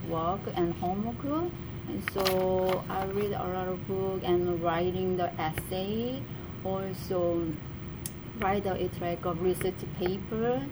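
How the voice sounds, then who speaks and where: A woman from Korea with a Korean accent, a college student answering interview questions in halting English, searching for words with many fillers. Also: on the low side. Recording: noticeable background noise.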